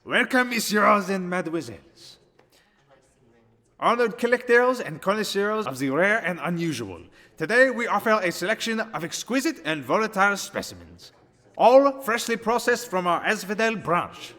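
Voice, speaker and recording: A man's voice: sits high, very animated, normal speed, pushed and bright, pitched above the performer's own voice, full character voice. A man voicing a formal auctioneer who welcomes collectors to a monster auction, announcing in a showy way. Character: grand male auctioneer hosting a monster auction. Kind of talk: ASMR roleplay.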